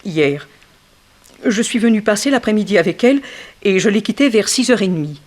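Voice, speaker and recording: An actress playing a bitter, sharp-tongued former ballerina in a 1960s French radio detective play, dramatic and insinuating. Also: female voice, mid-range voice, expressive, natural voice. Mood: sardonic, gossiping. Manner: dramatic, insinuating, swinging from slow emphasis to outbursts.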